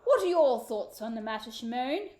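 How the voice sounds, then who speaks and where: A woman puts on a furious storybook king who booms out his orders in a raised, high voice. He is commanding and menacing, and every line sounds like a threat. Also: sing-song, normal speed, a little mellow, echoey room, a little hiss or hum. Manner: booming, commanding. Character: angry, menacing male king.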